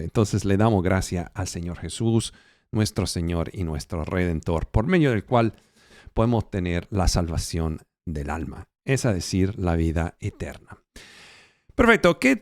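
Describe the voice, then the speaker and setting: A male pastor preaching a Bible teaching in Spanish, which is not his first language. He speaks slowly and haltingly, with fillers and self-corrections. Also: mid-range voice, dry recording, studio quality. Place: church, recorded for an online channel.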